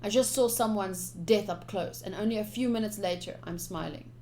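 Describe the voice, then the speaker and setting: A woman streamer doing a live let's-play of a visual novel, reading text aloud and reacting in an expressive, chatty way. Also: mid-range voice, moderate pace, a little hiss or hum.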